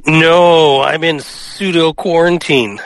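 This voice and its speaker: A man's voice: fairly high pitch, fairly even intonation, slow pace, smooth, no echo. A man co-hosting a radio show from home on a gaming headset, nasal and congested with a cold, easygoing and laughing a lot. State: stuffed up with a cold. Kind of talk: casual banter, recording remotely.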